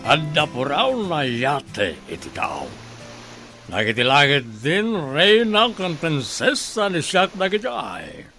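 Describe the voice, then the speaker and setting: A man's voice: a little high, sing-song, slow, pushed and bright, soft background music, full character voice. A man playing a domineering, boastful rich senyor and family head in a dramatized audio story, bossy and scolding in manner. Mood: commanding. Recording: faint background noise.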